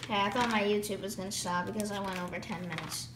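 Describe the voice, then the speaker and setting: A woman's voice: medium pitch, steady tone. A woman who is losing her voice, casually rambling as she flips through a toy catalog for her vlog. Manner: rambling, lists items quickly with filler words. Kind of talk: casual off-the-cuff vlog commentary. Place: indoors, room. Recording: echoey room with clear background noise.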